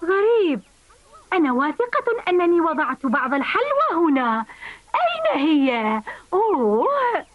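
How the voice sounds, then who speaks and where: A woman voicing a gentle, worried, affectionate insect mother in a dubbed cartoon. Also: very high-pitched, very animated, unhurried, heavily acted. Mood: puzzled. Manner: motherly, soft-hearted. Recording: dull lo-fi old cartoon soundtrack.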